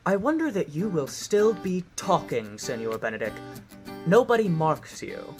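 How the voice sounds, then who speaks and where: A quick-witted, scornful noblewoman as a woman acts her speaks in a low voice. Every line is tart and mocking, in the style of Shakespearean radio comedy. Guitar music sits clearly behind. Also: big pitch swings, darkish tone. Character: sharp-tongued, disdainful young noblewoman with quick wit.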